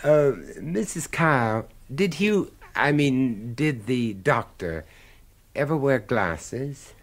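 An actor playing a middle-aged, absent-minded professor in a radio drama, jovial, with a hesitant, rambling manner. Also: male voice, mid-range voice, expressive, slow. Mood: vague, genial.

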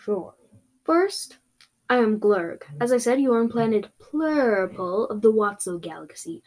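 A woman's voice: on the high side, lively intonation, a little slow, a little mellow. A woman voicing a helpful, polite gorilla-horse creature in a fantasy story. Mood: explaining. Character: helpful gorilla-horse centaur creature, calm, apologetic rescuer.

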